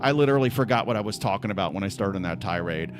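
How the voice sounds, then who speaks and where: Lively rambling man streaming from home. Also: on the low side, rapid-fire, soft background music. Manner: rambling, restless, full of restarts and "like". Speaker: livestream host. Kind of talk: casual livestream chat. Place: at home on a laptop.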